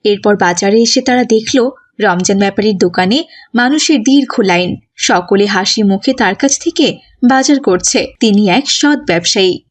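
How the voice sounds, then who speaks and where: A woman's voice: medium pitch, moderate pace. A woman narrating a scripted moral story for a children's cartoon.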